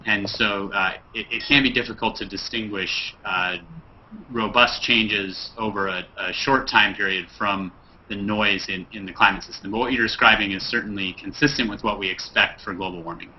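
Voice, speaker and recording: Male scientist guest, low voice, measured and halting, dull video-call sound. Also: fairly even intonation, a little hiss or hum. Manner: measured, frequent uh fillers. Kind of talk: explanatory video call answers.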